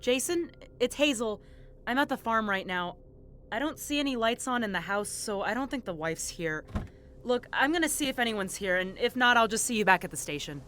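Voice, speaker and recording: A woman's voice: a little high, expressive, normal speed, noisy background, close to an everyday voice. An actress playing a determined but guarded female investigator in an audio drama, earnest and a little hesitant. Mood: alert, searching.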